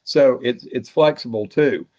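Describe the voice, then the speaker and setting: A man's voice: medium pitch, a little slow, darkish tone. A man chatting casually as the host of a radio net. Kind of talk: casual chat. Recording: dull band-limited ham radio audio.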